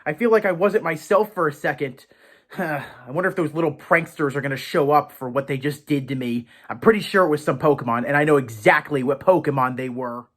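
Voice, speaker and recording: High-voiced, theatrical man hosting. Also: a little fast, fairly bright tone. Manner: theatrical, chatty, poses questions to the audience. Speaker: host of a Pokemon education show. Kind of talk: presenter monologue to viewers, playfully in character as a professor.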